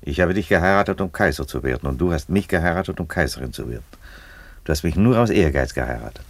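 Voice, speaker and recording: An actor playing a middle-aged Roman emperor in a German radio play, weary and coolly ironic, with a deliberate delivery. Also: male voice, very deep voice, expressive, dry recording, exaggerated voice. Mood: cool, blunt. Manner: deliberate, unruffled, declarative.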